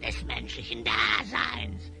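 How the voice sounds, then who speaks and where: An actor playing a sly, flattering hyena-headed spy who talks theatrically in rhyme in a fantasy audio drama. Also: male voice, medium pitch, slow pace, vocal fry, soft background music, heavily acted. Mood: sly, boastful. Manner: speaks theatrically in rhyme, obsequious.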